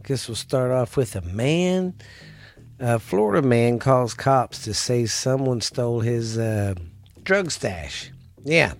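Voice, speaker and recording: A man's voice: mid-range voice, expressive, takes it slowly, no room sound. A man with a Texan accent hosting his own podcast in a laid-back, rambling way, swearing freely and sounding stuffy and congested. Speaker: podcast host. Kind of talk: casual solo podcast chat.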